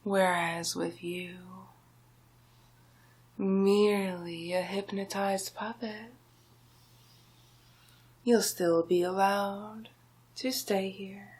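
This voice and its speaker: A woman voicing a scheming, domineering male sorcerer villain in a slow, breathy, often whispered ASMR roleplay. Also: mid-range voice, fairly even intonation, a little mellow. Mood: condescending, menacing. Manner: slow, drawn-out, menacing, hypnotic.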